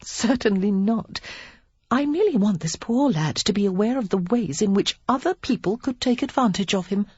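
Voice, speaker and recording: A woman's voice: mid-range voice, lively intonation, moderate pace, darkish tone, breathy edge, dry recording, muffled recording, voice pushed up. A woman reading the part of a witty, confident young woman who teaches card tricks, in a teasing, playful way.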